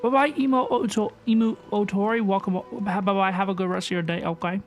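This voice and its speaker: High-voiced man chatting on a livestream. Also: steady tone, fast talker. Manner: chatty, informal, repeats phrases. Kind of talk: casual livestream chat and game reaction. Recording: faint game music behind voice. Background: video game music.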